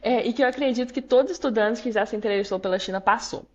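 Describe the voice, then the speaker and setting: A woman's voice: a little high, quick pace, lo-fi sound. A young woman with a Minas Gerais accent, a podcast guest talking candidly and reflectively with frequent fillers. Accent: Minas Gerais Portuguese. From Brazil, Minas Gerais. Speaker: guest, law graduate student. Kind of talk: casual interview chat.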